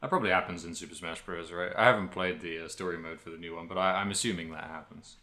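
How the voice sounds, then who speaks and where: Man, very deep pitch, bright sharp tone, casual gaming podcast conversation. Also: fast. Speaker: podcast co-host. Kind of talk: casual video game podcast chat.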